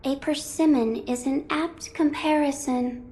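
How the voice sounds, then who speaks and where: A woman playing a gentle young holy maiden in a video game, speaking in a formal, measured and delicate way. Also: high-pitched, steady tone. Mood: serene, solemn. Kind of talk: video game cutscene acting.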